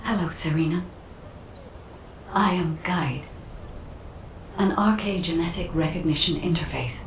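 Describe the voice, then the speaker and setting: An actress playing a serene, gently instructive AI guide in a video game, soft, measured and formal. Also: female voice. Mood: calm, reassuring. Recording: echoey, distant, faint rumble behind.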